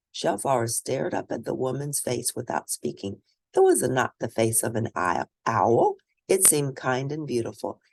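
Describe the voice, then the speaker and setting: A woman reading a children's story aloud and discussing it in an expressive, teacherly manner. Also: extremely low pitch, creaky, no room sound. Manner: expressive, teacherly, asks questions of listeners. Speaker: storybook reader.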